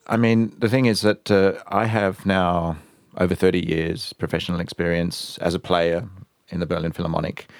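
A man in his fifties with an Australian accent, speaking as a guest in a radio interview, thoughtful and measured, with many hesitations. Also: low voice, gravelly, no echo, studio quality. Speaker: guest, violinist and conductor.

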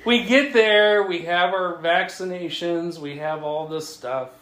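A man's voice: sits high, a little slow. Man telling a funny anecdote in an echoey room. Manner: animated storytelling, acting out dialogue, chuckling often. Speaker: writer telling a travel story to a writers' group. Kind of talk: casual humorous anecdote. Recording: echoey room with faint noise.

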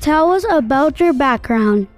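A woman interviewing a guest with brief, measured questions. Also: high-pitched, expressive, no echo, faint music behind.

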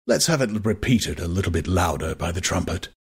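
A man's voice: sits low, lively intonation, fairly quick, breathy edge, full character voice. Man acting a pompous, emphatic male director. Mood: pompous, instructive. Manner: emphatic lecturing. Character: pompous, domineering male director lecturing students. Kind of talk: dramatized audiobook character.

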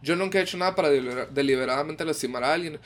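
A man's voice: on the high side, racing. A young man from Mexico, co-hosting a casual podcast chat and musing in a hesitant, self-correcting way.

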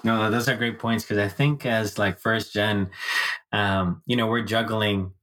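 A man's voice: fairly low pitch, fairly even intonation, a little slow, a bit bright. A man hosting a casual conversation, chatty, asking follow-up questions. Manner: friendly, conversational, asks follow-ups. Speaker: host.

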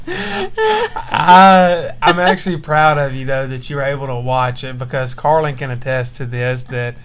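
Joking young husband chatting at home. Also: male voice, medium pitch, big pitch swings, measured pace, a bit bright. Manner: joking, teasing, laughs often. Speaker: podcast co-host and church minister. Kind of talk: casual chat with his wife. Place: home at night. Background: faint baby monitor hiss.

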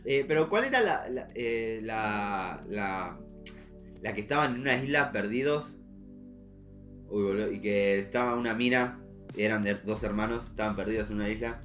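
A man's voice: on the low side, expressive, moderate pace, fairly bright tone, soft background music. A young man with a Rioplatense Argentine accent chatting casually and teasingly in slang as a podcast co-host. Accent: Rioplatense Argentine Spanish. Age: young adult. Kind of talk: casual chat.

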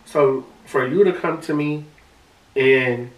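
High-voiced man in a roomy room. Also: slow pace. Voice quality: high-pitched voice. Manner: animated, repeats and restarts words. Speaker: podcast co-host. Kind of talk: casual podcast chat. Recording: roomy sound from a distant mic.

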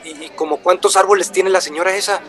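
An earnest, polite traveling buyer in a radio drama. His voice is a touch high and his manner eager and explanatory. A low murmur of café customers sits behind him. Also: steady tone, quick pace, a bit bright, a faint crowd.

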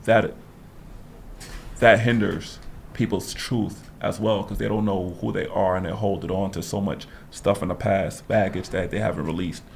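A man co-hosting a casual podcast, speaking in a reflective, affirming way with a gravelly voice. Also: low voice, steady tone, moderate pace, a little hiss or hum. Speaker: podcast co-host.

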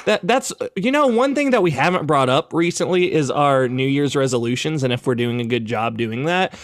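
A man's voice: mid-range voice, expressive, moderate pace, no echo, clean studio sound. A young man chatting casually on a podcast, hesitant, often stammering and restarting his sentences. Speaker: podcast cohost, video creator.

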